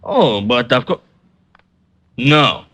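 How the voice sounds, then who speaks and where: A man's voice: mid-range voice, animated, very slow, a bit bright. A man playing a comic, broke Russian artist and informant with a Russian accent in an old radio comedy-mystery. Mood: wheedling. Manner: theatrical, exclamatory, scornful. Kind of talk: 1950s comedic radio acting.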